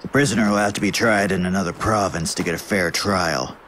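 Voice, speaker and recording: A man's voice: low-pitched, a little fast, a bit bright, slight background noise. A man playing a pragmatic, authoritative adviser in an audio drama. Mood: measured. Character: pragmatic, authoritative man planning a prisoner's trial.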